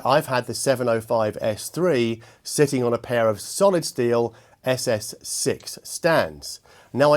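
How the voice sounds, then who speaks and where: Measured man presenting an audio review. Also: medium pitch, a little mellow. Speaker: host and audio equipment reviewer. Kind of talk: spoken product review to viewers.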